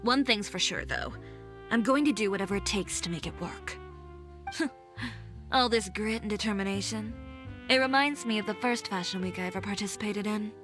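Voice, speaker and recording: A woman's voice: mid-range voice, normal speed, close to an everyday voice. A woman voicing a cool, self-assured, driven fashion designer in a video game, speaking in a wry, confident way. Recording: game audio with soft music behind.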